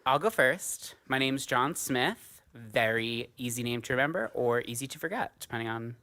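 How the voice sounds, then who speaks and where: Bright-voiced New York man. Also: mid-range voice, moderate pace. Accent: New York American. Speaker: a cappella singer leading a workshop. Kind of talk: casual workshop introduction.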